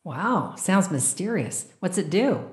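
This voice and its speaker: An actress in a radio play playing an earnest, businesslike government special agent. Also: female voice, fairly low pitch, sing-song, slow. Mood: curious. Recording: echoey room with clear background noise.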